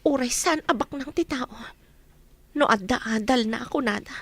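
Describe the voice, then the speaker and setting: A woman's voice: fairly high pitch, moderate pace, fairly bright tone, dry recording. A woman with an Ilocano accent playing a wronged mother bent on revenge in a radio drama, speaking with intensity. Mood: determined. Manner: determined, intense.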